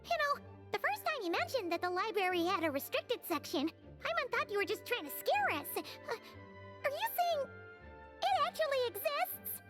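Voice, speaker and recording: A tiny, excitable fairy companion from a fantasy game, with a very high, squeaky voice that bounces all over the place. She is chirpy and nosy, and she talks about herself in the third person. Soft game music plays underneath. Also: moderate pace. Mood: startled. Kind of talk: game voice acting.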